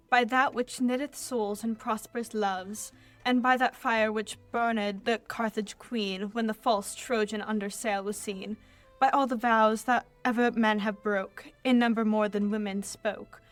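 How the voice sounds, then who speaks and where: A woman's voice: a little high, lightly acted. A young woman playing a devoted young Athenian lady in love, reciting Shakespearean verse earnestly in a radio play. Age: young adult. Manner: earnest, measured verse delivery.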